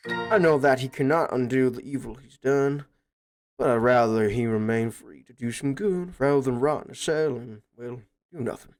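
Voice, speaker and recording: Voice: mid-range voice, moderate pace, faint music behind, natural voice. A performer voicing an earnest, dignified, compassionate village leader in a cartoon comic dub, polite and formal. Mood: heartfelt. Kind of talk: comic book fan dub cartoon acting.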